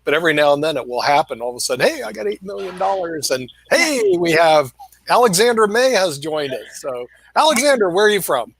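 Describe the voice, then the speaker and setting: A man's voice: a little high, very animated, vocal fry, fairly bright tone, husky. A man hosting a casual panel chat, who often stumbles and repeats words as he talks. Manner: halting, repeats words, chuckles. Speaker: panel host.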